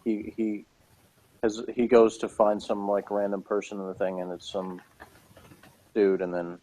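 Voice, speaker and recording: A man's voice: on the low side, level tone, measured pace, mellow, a little hiss or hum, rough recording. A man co-hosting a review show in casual chat, with a flippant, joking way of talking.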